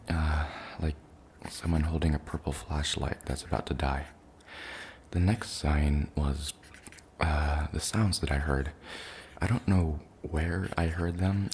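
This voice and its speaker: Very deep, creaky man acting as invasion survivor. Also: darkish tone, a little hiss or hum. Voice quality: gravelly, creaky low voice. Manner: hesitant, halting, plainly worded recollection. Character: shaken male survivor recounting an alien invasion. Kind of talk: soft-spoken ASMR roleplay testimony.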